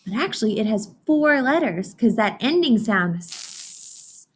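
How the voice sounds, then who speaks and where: A woman's voice: a little high, big pitch swings, a little slow, slight background noise. A woman teaching young children in an interactive read-aloud lesson, encouraging and instructional, often putting questions to her listeners.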